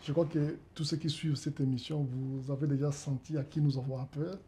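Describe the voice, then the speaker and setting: A man's voice: a little high, flat delivery, brisk, dark tone. A man hosting an interview show, with formal, emphatic introductions. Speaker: talk show host.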